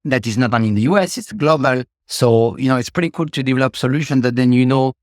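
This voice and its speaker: Man with a French accent, middle-aged, thoughtful, halting, dry recording. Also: mid-range voice, dry recording. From France. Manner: reflective, many fillers and restarts. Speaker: guest, ecommerce logistics executive. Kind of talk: podcast interview.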